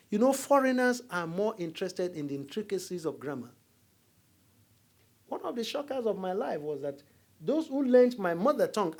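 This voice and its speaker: A middle-aged Nigerian man with a Nigerian accent, giving a university lecture as a diplomat, telling stories and jokes to the audience. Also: high voice. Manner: storytelling, animated, jokes with audience.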